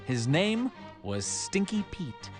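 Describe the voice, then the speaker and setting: Animated male storybook narrator, fairly high. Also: takes it slowly. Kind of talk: scripted children's read-along narration. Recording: music behind the narration.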